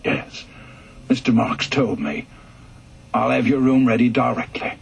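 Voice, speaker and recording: A man voicing an elderly male mess steward in a radio story, speaking humbly and respectfully with a very husky voice. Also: medium pitch, lively intonation, unhurried, lo-fi sound, slight background noise. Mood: deferential.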